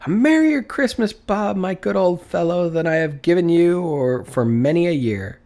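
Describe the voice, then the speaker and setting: An old gentleman from a classic storybook, acted out by a man. He is emphatic and theatrical, with big swings in pitch between gruff mock severity and giddy goodwill. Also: lively intonation, moderate pace. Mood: exuberant. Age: older.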